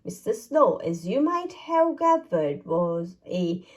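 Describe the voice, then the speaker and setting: A woman reading a children's storybook aloud in an expressive, deliberate storytelling way. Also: on the low side. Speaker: storybook reader. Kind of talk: children's read-aloud narration.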